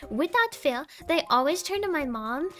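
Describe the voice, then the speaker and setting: A young woman with a small, sweet voice, chatting casually on a live stream, rambling and giggling between deadpan jokes. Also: high-pitched, normal speed, soft background music.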